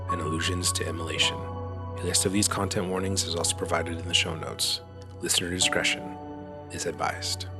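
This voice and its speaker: A man with a very deep voice reads scripted content warnings as an announcer. His delivery is flat and matter-of-fact, with music clearly audible behind him.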